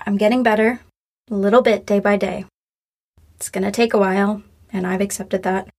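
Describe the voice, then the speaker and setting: A woman's voice: medium pitch, level tone, moderate pace, darkish tone, lightly acted. A woman playing a grieving young woman in an audio drama, apologetic and wryly hopeful, speaking casually and sincerely. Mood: gentle, reflective. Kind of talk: naturalistic audio drama acting.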